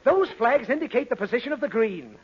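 An exasperated, fussy father in 1930s radio comedy. His voice sits high and leaps around in pitch. The old recording is muffled, with noise throughout. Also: big pitch swings, fairly quick, breathy edge, exaggerated voice. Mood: strained patience. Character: exasperated, flustered father of a pesky child.

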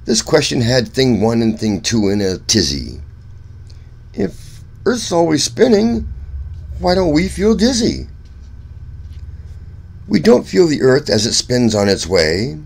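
Guy reading a kids rhyming book out loud, super animated, pitch going all over, sing-song. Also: a little slow, vocal fry, long pauses, breathy edge. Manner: expressive, sing-song storytelling. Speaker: children's story reader, host. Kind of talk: read-aloud rhyming children's picture book.

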